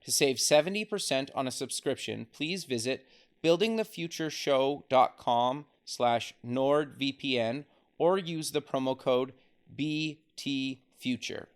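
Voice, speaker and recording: A male podcast host who reads a sponsor spot and interviews a guest, reacting with short upbeat remarks. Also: mid-range voice. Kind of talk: interview hosting and ad read.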